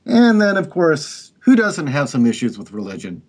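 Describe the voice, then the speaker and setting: A man's voice: a little high, wildly swooping pitch, moderate pace. Reflective middle-aged man on a panel. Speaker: writer and artist guest. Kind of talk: casual panel chat.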